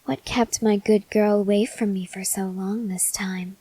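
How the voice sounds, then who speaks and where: A woman playing a possessive, softly menacing female vampire lover in an intimate, measured ASMR roleplay that slips into breathy whispers. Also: medium pitch, fairly even intonation. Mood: probing, affectionate. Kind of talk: ASMR roleplay acting, addressed to the listener.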